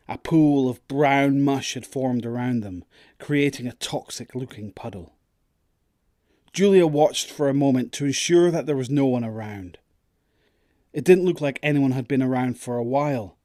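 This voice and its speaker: A man's voice: mid-range voice, a little mellow, no room sound, clean studio sound. A man narrating a scripted audio drama as its storyteller.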